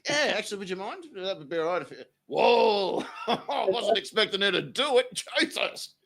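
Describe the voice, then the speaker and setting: Casting: male talk-show host, bright lively voice, crude and rowdy. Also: sits high, moderate pace, vocal fry. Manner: boisterous, profane, laughs often. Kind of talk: crude comedic banter and showy introductions. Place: studio.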